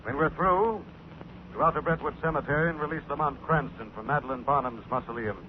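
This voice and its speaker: A man's voice: fairly high pitch, brisk, lightly acted. Male actor as mysterious avenger, American, commanding, ominous, 1940s radio drama, tinny with faint hiss. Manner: imperious, ominous. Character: mysterious crime-fighting man, commanding and menacing.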